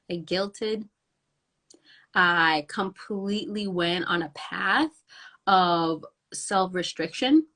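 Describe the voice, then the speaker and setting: A young woman, raspy from a cold, hosting a live stream and effusively greeting her viewers by name. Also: medium pitch, pushed and bright. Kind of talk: live-streamed motivational talk.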